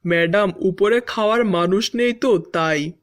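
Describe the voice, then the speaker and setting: A woman's voice: mid-range voice, unhurried, close to an everyday voice. Woman as cartoon schoolboy, cheeky, smart-alecky, mock-respectful, silly comeback delivery. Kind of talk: Bangla cartoon joke dialogue.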